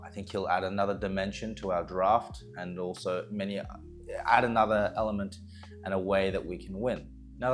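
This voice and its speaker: A man speaks in a low voice over soft background music. He sounds like a coach addressing fans, explaining team news in an earnest, measured way. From Australia. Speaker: esports coach. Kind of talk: prepared team announcement to fans. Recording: music playing behind the voice.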